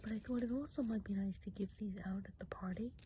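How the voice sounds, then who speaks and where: A woman playing a friendly, chatty Christmas witch of the woods in a gentle, breathy ASMR whisper. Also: mid-range voice, slight background noise, lightly acted. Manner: gentle, unhurried, chatty and hesitant. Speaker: ASMR role-play host. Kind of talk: whispered ASMR role play.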